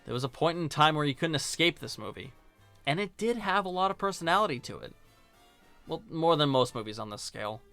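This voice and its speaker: A man's voice: a little high, expressive, a little fast, vocal fry, sharp tone, faint music behind. A man giving scripted video-essay commentary in a sarcastic, informal, joking manner. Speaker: video reviewer and host.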